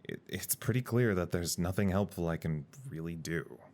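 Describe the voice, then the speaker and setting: Deep-voiced, wry office worker. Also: male voice, normal speed, darkish tone. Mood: defensive, dejected. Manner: dry, sardonic, hesitant. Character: wry, discouraged male office worker who drinks. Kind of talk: naturalistic audio drama dialogue.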